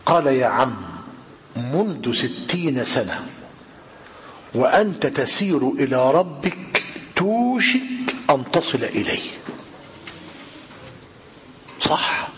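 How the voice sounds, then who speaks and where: An older man with an Egyptian Arabic accent, giving a religious lesson in a hall and questioning his listeners, slowing down when he quotes classical texts. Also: mid-range voice. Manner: lively rhetorical questioning of the audience. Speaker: preacher. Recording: reverberant hall with faint noise.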